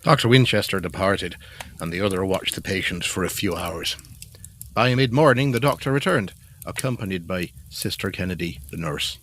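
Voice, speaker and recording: A man acting as the formal, measured narrator of a gothic Edwardian mystery in an audio drama. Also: sits low, expressive, a bit bright, a little hiss or hum. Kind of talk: scripted storytelling narration.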